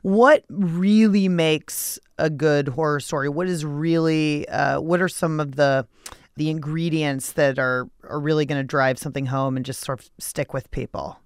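Low-pitched woman hosting a casual interview. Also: fairly even intonation, moderate pace, clean tone, dry recording, studio quality. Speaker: radio show host, interviewer.

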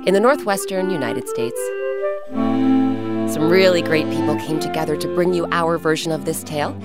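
A woman hosting and narrating a scripted children's story with expressive, emphatic delivery and dramatic pauses. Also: sits low. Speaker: host and narrator. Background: music.

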